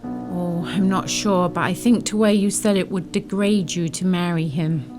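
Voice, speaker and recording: A woman's voice: lowish voice, fairly even intonation, normal speed, lightly acted. Woman acting motherly old servant lady, worried, telling a story radio play style, faint music. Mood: hesitant. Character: concerned, motherly old female household servant.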